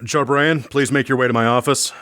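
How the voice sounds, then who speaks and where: A man's voice: mid-range voice, steady tone, brisk, sharp tone, slight background noise, lightly acted. A man playing a polite doctor with formal manners in a sci-fi audio drama. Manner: courteous, formal. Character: polite, formal male doctor at an intergalactic prison.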